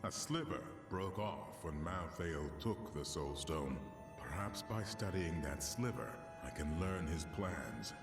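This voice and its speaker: Grave game mentor, low voice, slow measured speech, wise, solemn, music behind. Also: male voice, expressive, heavily acted. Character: solemn, wise male mentor studying an enemy's plans. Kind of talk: video game dramatic acting.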